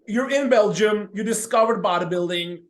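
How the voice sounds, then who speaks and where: A man's voice: high-pitched, normal speed. A man hosting an interview, asking questions with enthusiasm. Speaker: show host, interviewer.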